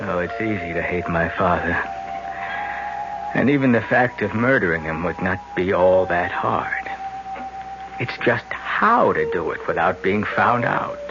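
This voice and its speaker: A man's voice: low voice, unhurried, over loud music. An American actor playing a bitter, scheming young man in a 1970s radio drama, with a casual, slangy, sardonic manner. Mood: cold, scheming. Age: young adult. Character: bitter, scheming young man plotting against his father. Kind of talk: 1970s radio drama acting with confiding narration. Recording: noisy, muffled old radio broadcast.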